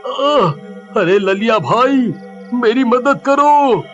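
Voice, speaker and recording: A man's voice: very high-pitched, expressive, slow pace, fairly bright tone, heavily acted. A man voicing a panicky, complaining villager in a comic horror cartoon story, whiny and pleading. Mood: panicked pleading. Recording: dull, lo-fi with music. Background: music.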